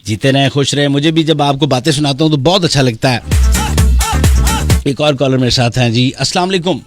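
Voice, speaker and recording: Man, lower-pitched voice, Pakistani Punjabi accent, live radio host, playful teasing banter, mock-serious delivery, loud music underneath. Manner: switches between languages mid-talk.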